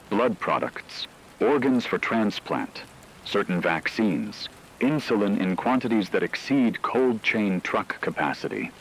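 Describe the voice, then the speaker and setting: A man playing a grave radio news anchor, reading a scripted emergency bulletin in a formal, measured, solemn way. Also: on the low side, fairly bright tone, slight background noise, poor audio. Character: grave male shortwave radio newscaster reporting global catastrophe.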